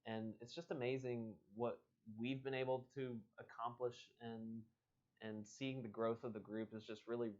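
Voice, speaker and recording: A man's voice: mid-range voice, flat delivery, moderate pace, darkish tone, dull audio. A man hosting a casual live stream, chatting and reading a story aloud. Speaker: host and book reader.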